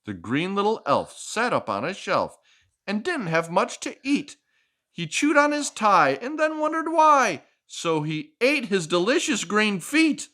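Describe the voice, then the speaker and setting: A man's voice: high voice, very animated, unhurried, bright, forceful tone. A man hosting a children's storytime, reading a picture book expressively with playful, chatty asides to a child. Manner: playful, asking questions and echoing the child's answers.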